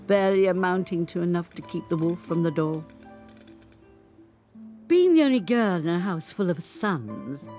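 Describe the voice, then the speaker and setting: An actress playing an 85-year-old grandmother from Birmingham, who looks back on her life in a measured, unhurried monologue. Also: lowish voice, mellow. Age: older. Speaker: narrator. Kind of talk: scripted dramatic monologue.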